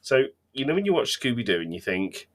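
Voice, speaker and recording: A man's voice: mid-range voice, big pitch swings, a little fast. A man co-hosting a podcast, chatting casually in an emphatic, opinionated way. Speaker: podcast co-host. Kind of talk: casual chat.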